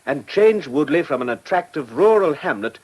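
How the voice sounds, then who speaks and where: An actor playing an earnest, polite but exasperated village campaigner in a radio drama, well-spoken with an English accent. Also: male voice, fairly high pitch, sing-song, normal speed, slightly rough. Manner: well-spoken, courteous, indignant. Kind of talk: British radio drama acting.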